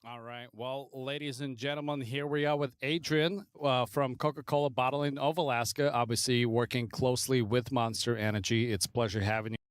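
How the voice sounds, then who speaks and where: A man hosts interviews at an esports event, upbeat and quick to affirm his guest. His voice is smooth and clear. The sound is dry and close. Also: mid-range voice, fairly even intonation, no room sound. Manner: upbeat, affirming with short replies. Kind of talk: livestream interview hosting.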